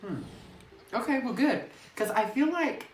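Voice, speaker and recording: A let's-play commentator talking casually and thinking aloud about a visual novel, filling every pause with "like", recorded on a phone. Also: medium pitch, very animated, slight background noise. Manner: rambling, thinking aloud, heavy use of "like". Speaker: gameplay commentator. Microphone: phone microphone. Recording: roomy echoey phone recording.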